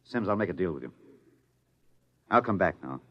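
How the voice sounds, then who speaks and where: A desperate fugitive husband in classic radio drama. His voice is on the low side and moves expressively in pitch. He speaks earnestly and firmly, protective of his wife. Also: very animated, moderate pace, highs cut off. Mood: grim resolve. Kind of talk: vintage radio drama acting. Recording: old radio drama recording.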